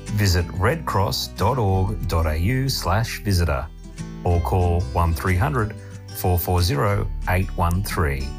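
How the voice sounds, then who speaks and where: A man giving a gentle, earnest ad voice-over. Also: deep voice, slow pace. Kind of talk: scripted ad narration. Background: music.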